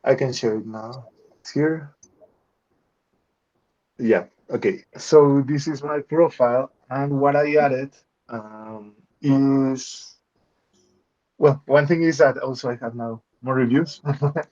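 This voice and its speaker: A man's voice: medium pitch, takes it slowly, pauses often, darkish tone. A man giving an informal update to a coaching group as a freelancer on an online call. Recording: slightly dull video call audio.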